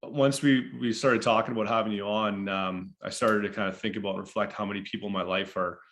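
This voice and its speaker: A man co-hosting a recovery podcast, talking casually with a halting, hesitant flow. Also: fairly low pitch, fairly even intonation, fairly bright tone. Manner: hesitant, lots of uh and restarts. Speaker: podcast co-host.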